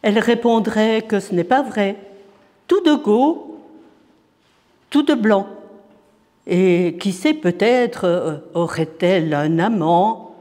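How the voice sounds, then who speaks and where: A man with a high voice recites poetry dramatically. He goes very slowly, setting each phrase apart with deliberate pauses. The sound carries a long, hall-like reverberation. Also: darkish tone.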